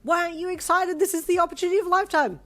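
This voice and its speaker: A woman voicing a bubbly, excitable young girlfriend who squeals and gushes. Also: normal speed. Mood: excited. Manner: high, squealing, gushing.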